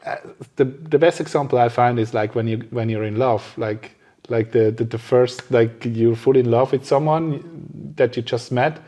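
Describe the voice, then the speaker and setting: A man hosting a casual interview, speaking haltingly as he searches for his words. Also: medium pitch, dark tone. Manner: halting, searching for words, frequent short listener responses. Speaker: podcast host, interviewer.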